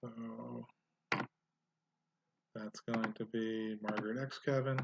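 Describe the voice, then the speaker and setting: A man of ordinary pitch talks through a software walkthrough, thinking aloud and pausing on "uh" and "um." His home recording is dull and lo-fi, with steady noise and the clicks and typing of a computer behind him. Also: gravelly. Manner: thinks aloud with frequent fillers. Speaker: genealogy tutorial host.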